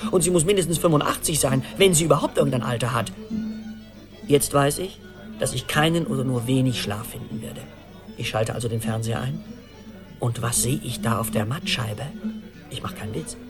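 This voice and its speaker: An actor in a radio play voicing an anxious middle-aged man who tells his story in an urgent, animated, rambling conversational monologue. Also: a little high, close to an everyday voice. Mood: agitated, incredulous. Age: 40s.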